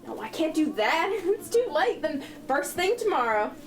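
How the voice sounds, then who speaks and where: A woman's voice: high voice, big pitch swings, moderate pace. A woman acting a spirited, devoted teenage daughter in a 1940s holiday radio play, earnest and emotional. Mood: overjoyed, excited. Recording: roomy, distant, music underneath.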